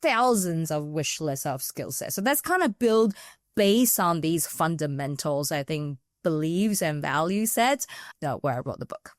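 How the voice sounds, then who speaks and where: Low-voiced woman, thoughtful and dry. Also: lively intonation, measured pace, no room sound. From Taiwan, raised in New Zealand. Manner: thoughtful, circles back on ideas with rephrasing. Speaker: HR consultant and author, podcast guest. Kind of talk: reflective conversational interview answers.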